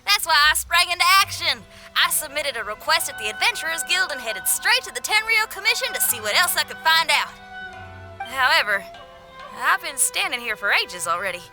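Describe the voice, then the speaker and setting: A woman playing a spirited, tenacious young female rock musician in a video game, with a folksy, expressive way of talking. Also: sits high, brisk, poor audio. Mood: resilient, upbeat. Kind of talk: video game cutscene voice acting. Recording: faint music behind.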